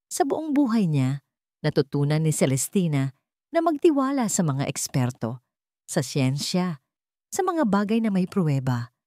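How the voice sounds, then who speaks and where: A woman narrating a scripted dramatic love story in a theatrical, deliberately phrased way. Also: fairly low pitch, big pitch swings, mellow, dry recording, clean studio sound.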